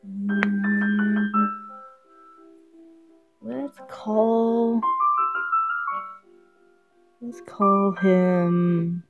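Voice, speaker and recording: A woman live-streaming a game, chatting casually and haltingly as if half to herself. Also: medium pitch, steady tone. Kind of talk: casual live-stream chat while setting up and playing a visual novel. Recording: dull lo-fi mic with music behind.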